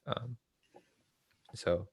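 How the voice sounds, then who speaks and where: A young man co-hosting a casual book discussion, thinking aloud in a halting, hedging way. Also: no echo. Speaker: co-host of a book read-along series. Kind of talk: casual discussion of political theory.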